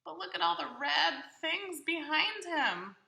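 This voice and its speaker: Female children's storybook reader, very bright tone, unhurried, expressive, asking little listeners simple questions. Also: high-pitched, gravelly. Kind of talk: interactive picture-book read-aloud with questions to young children.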